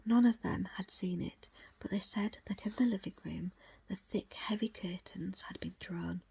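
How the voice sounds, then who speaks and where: A woman reading a book aloud as ASMR in a breathy, unvoiced whisper, with hushed, deliberate phrasing in short pieces. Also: mid-range voice. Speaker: audiobook reader.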